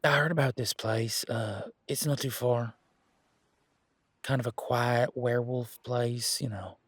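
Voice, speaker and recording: A man's voice: mid-range voice, steady tone, measured pace, fairly bright tone, breathy edge, no echo. A man playing a polite, flustered werewolf boyfriend in a romantic audio drama, talking casually with nervous stammers. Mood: amazed, relieved. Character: Gavin, flustered, polite, excitable young male werewolf boyfriend.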